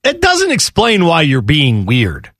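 A man's voice: a little high, wildly swooping pitch, measured pace, fairly bright tone, no echo, clean studio sound. A man co-hosting a sports radio show, chatting and bantering casually.

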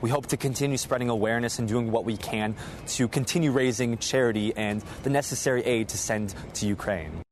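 A man's voice: medium pitch, a little fast. Young male student interviewee, earnest, outdoor street noise. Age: young adult.

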